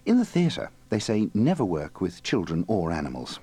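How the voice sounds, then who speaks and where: A man's voice: low voice, huge pitch swings, normal speed, warm tone, husky. A man narrating a radio compilation with dry, wry introductions. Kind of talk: scripted narration.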